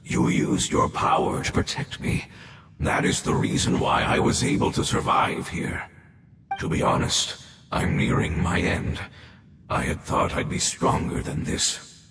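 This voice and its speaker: An actor voicing a dying ancient creature in a game, solemn and weary, with a gravelly voice. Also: male voice, a little slow, a bit bright, slight background noise, close to an everyday voice. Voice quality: gravelly, very deep. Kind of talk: game voice acting. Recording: echoey with game music behind.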